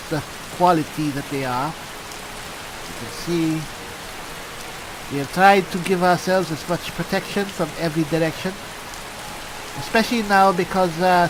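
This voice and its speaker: A man's voice: on the high side, natural voice. A man voicing a genial, chatty armored cleric adventurer in game roleplay, musing and conversational. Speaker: gamer roleplaying his character. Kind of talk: in-character game roleplay narration. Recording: hissy, rain noise throughout. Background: falling rain.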